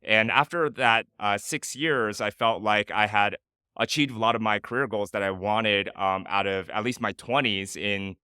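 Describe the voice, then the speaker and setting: A man's voice: fairly low pitch, moderate pace, pushed and bright, clean tone, dry recording, clean studio sound. A man, a guest answering interview questions thoughtfully, with frequent "uh" fillers. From Michigan, United States. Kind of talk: casual interview conversation.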